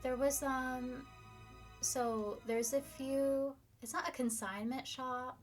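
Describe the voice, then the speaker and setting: Chatty young woman streamer, slightly hoarse. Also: high-pitched, steady tone, takes it slowly, faint music behind. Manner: chatty, upbeat, reads viewer comments. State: slightly hoarse, losing her voice. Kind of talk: casual live-stream chat.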